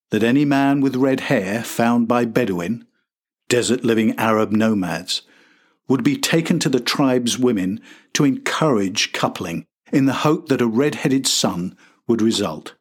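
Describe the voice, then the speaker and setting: Husky-voiced man narrating a documentary. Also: medium pitch. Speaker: podcast host and narrator.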